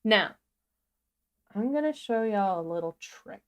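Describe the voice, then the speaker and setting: Enthusiastic woman co-hosting, medium pitch. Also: lively intonation, unhurried. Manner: enthusiastic, informal. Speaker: livestream co-host. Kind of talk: casual software tutorial chat.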